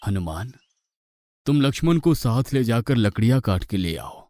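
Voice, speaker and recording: A man's voice: medium pitch, big pitch swings, normal speed, mellow, dry recording, studio quality, lightly acted. A man voicing a kindly, indulgent god in human form in a cartoon, coaxing and instructing patiently. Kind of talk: Hindi cartoon mythological acting.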